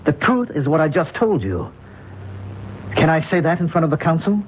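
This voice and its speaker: A bewildered, insistent man in a radio drama. His voice sits high and moves up and down freely as he protests. The old broadcast recording is muffled. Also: big pitch swings, normal speed, a little hiss or hum. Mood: frustrated. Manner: agitated, insistent, plain everyday speech. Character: bewildered man trapped in Running Beaver's body, protesting. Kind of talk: 1970s radio drama acting.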